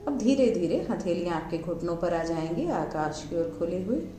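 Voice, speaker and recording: A woman with an Indian accent guides a meditation, giving measured instructions in short phrases with pauses between them. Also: on the low side, lively intonation, noisy background. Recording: roomy echo, music underneath. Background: music.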